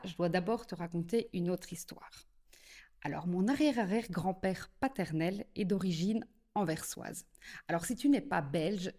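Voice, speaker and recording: A woman's voice: on the low side, a little mellow. A Belgian woman from French-speaking southern Belgium talking straight to the viewer in a casual explanatory tone, leaning often on little lead-ins like "eh bien" and "alors". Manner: chatty, addresses the viewer directly, many "eh bien" and "alors". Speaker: psychogenealogy video creator. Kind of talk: casual explanatory talk to camera.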